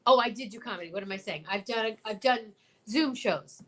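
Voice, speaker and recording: Woman, higher voice, casual chat, emphatic, gushing, repeats herself, talk show co-host. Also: a little fast, fairly bright tone.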